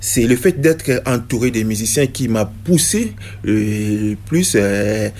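A man from Congo, a reggae musician answering interview questions in a halting way, full of hesitations and restarts. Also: medium pitch, lively intonation, darkish tone, slight background noise.

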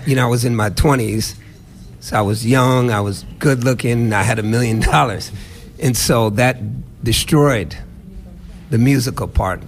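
A man's voice: medium pitch, a little hiss or hum. A middle-aged man from the United States, a musician answering interview questions in a reflective, emphatic way. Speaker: musician guest.

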